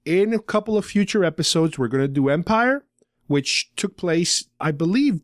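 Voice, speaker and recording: A man's voice: on the high side, animated, normal speed, dry recording, studio quality. A middle-aged man hosting a podcast, talking casually and explaining things like an enthusiastic fan. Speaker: podcast host.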